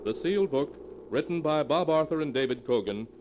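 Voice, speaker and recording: A man's voice: a little high, expressive, a little fast. A man announcing a 1940s radio show in a dramatic style, on a noisy old recording.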